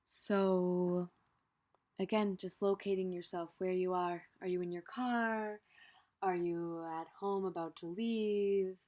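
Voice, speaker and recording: Lower-pitched woman guiding a meditation. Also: fairly even intonation. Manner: gentle, unhurried, with pauses and soft trailing phrase endings. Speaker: meditation guide. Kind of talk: unscripted guided meditation.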